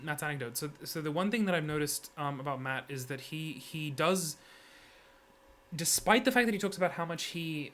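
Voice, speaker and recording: Bright-voiced man thinking aloud, haltingly. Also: fairly high pitch. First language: English, heritage speaker of Serbian. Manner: hesitant, halting, frequent self-corrections. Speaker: vlogger giving an opinion. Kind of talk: unscripted opinion monologue.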